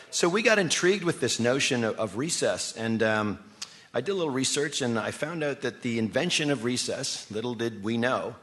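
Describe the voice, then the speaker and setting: A man's voice: medium pitch, a bit bright. A man speaking as an executive guest in a live radio interview, telling stories in a conversational, enthusiastic and persuasive way. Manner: enthusiastic, persuasive, poses rhetorical questions to listeners.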